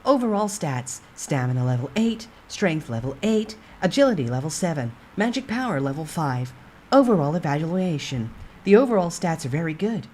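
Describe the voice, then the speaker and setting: A woman voicing an impersonal game-like system announcer, formally reading out stats in measured phrases. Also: deep voice, very animated, a little mellow, a little hiss or hum.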